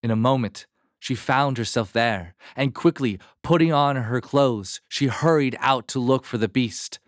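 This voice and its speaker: A man narrating a fairy tale as a storyteller, in a measured, expressive manner. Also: mid-range voice, dry recording, clean studio sound.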